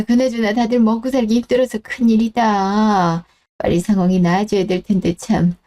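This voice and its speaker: A woman voicing a gentle, self-effacing elderly mother in a radio drama who speaks in a soft, apologetic, consoling way. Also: medium pitch, natural voice. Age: older.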